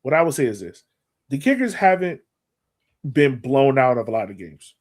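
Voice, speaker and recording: A man's voice: medium pitch, big pitch swings, normal speed. A man co-hosting a soccer podcast, breaking things down casually in colloquial language and repeating words for emphasis. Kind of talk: casual sports analysis.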